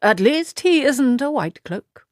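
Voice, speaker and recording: A woman's voice: high voice, big pitch swings, slow, a little mellow, no echo, studio quality, voice pushed up, exaggerated voice. A woman voicing a tired, startled male innkeeper apologizing to guests. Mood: weary.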